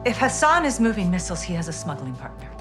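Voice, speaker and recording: An actress playing a cool, precise intelligence officer who briefs in a calm, measured way. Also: female voice, fairly low pitch, reverberant, over music. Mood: firm.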